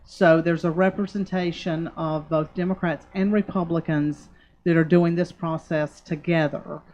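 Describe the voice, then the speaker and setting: A woman's voice: fairly low pitch, steady tone, slow, a little mellow, highs cut off, slight background noise. A woman election official speaking as a radio interview guest, explaining a process patiently with frequent ums. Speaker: county election board official. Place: radio studio.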